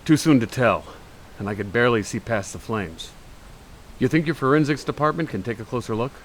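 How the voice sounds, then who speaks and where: A man's voice: medium pitch, a little fast, a little hiss or hum, natural voice. A man acting an earnest, polite superhero with a mild-mannered alter ego in a radio play. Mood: heroic, serious.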